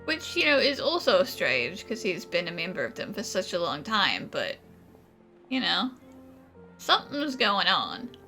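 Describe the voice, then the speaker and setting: A forthright young companion, speaking slowly in a bright, lively voice that moves up and down. Faint game music plays behind her. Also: lowish voice, heavily acted. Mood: musing. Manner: forthright, musing. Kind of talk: game voice acting.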